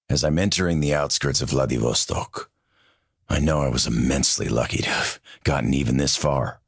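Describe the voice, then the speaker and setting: Very deep, gravelly middle-aged soldier. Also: male voice, no room sound, clean studio sound, exaggerated voice. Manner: reflective, measured. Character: weathered American soldier, reflective and determined, longing for home. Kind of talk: scripted narration, video game monologue.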